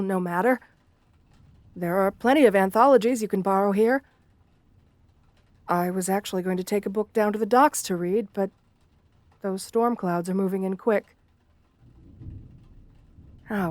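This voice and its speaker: A woman's voice: medium pitch, a little mellow, dry recording, lightly acted. A woman playing a shy, sweet, bookish gargoyle in a romantic ASMR roleplay, speaking hesitantly with polite, old-fashioned formality.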